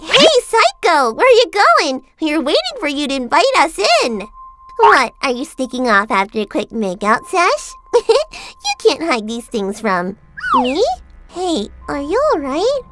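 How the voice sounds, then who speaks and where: A bubbly, teasing teenage heroine, as a fan dubber plays her, high-pitched and bouncing all over her range. Clear music runs underneath. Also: huge pitch swings, unhurried, exaggerated voice. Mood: cheerful. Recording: music behind.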